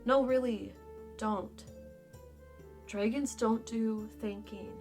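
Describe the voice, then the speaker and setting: A woman's voice: a little high, fairly even intonation, slow, music in the background. A woman playing a blunt, sardonic young female dragon in a fan fiction audio drama, brusque and casual in manner. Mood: dry, dismissive.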